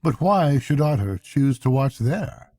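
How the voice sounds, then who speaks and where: A loyal little mole from a bedtime storybook, played by a man. The voice sits well up high and talks plainly and eagerly, with a good heart. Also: very animated, measured pace, mellow, no echo. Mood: attentive. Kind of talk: bedtime storybook character reading.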